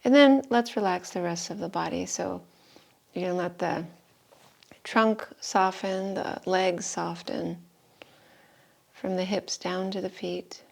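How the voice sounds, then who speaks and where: A woman teaches yoga, guiding breath and relaxation at a very slow pace. Her voice sits a little low and has plenty of creak. She is soothing and leaves long pauses between short instructions. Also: steady tone. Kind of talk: guided meditation and breathing instruction. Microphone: live video call stream.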